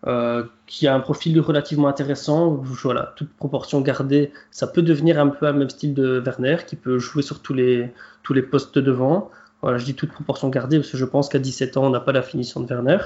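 A man's voice: mid-range voice, fairly even intonation, brisk, a little mellow. A man on a football podcast panel, talking with many hesitations. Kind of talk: podcast discussion. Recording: echoey room, dull audio.

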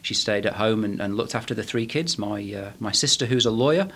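Manchester man, 40s, podcast guest; halting, thoughtful delivery. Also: on the low side, a bit bright. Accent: Manchester English. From England. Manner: hesitant, many restarts and fillers. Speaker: guest, head of a management body. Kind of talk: podcast interview.

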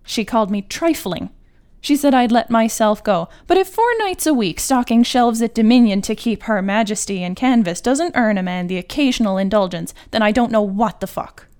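A woman writer reading her own literary short story in a measured, articulate and wry manner. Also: fairly high pitch, expressive, a little mellow, clear, resonant tone.

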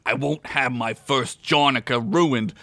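Guy doing a loudmouth bossy mayor in a story, bright voice, takes his time. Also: medium pitch, measured pace, no room sound. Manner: bossy, blustering. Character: brash, crude male city mayor, bossy taskmaster.

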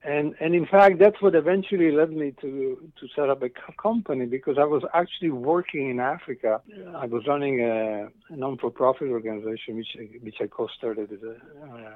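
A man's voice: medium pitch, fairly quick, few pauses, no echo, narrow, tinny audio. Middle-aged Slovak-accented man, rambling enthusiastically, dry close sound. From eastern Slovakia. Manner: enthusiastic, rambling, self-interrupting. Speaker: interview guest, cycling company founder. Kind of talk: casual reflective interview answers.

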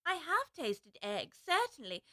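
A woman's voice: sits high, huge pitch swings, unhurried, pushed and bright, voice pushed up, heavily acted. A woman voicing a polite, puzzled little girl in a storybook reading, speaking in an earnest, politely protesting way.

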